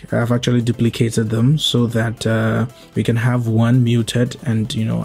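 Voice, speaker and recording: A young adult man narrating an explanatory tutorial as its host, going through it step by step in a measured way. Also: mid-range voice, darkish tone, breathy edge. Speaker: host of a video editing tutorial. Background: background music.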